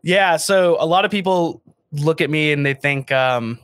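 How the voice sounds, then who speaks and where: A man's voice: on the high side, unhurried, a bit bright, no room sound. An American man in his late twenties, a podcast guest and startup founder, talking casually and with self-deprecating humor, full of fillers and restarts. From United States. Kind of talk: casual interview talk.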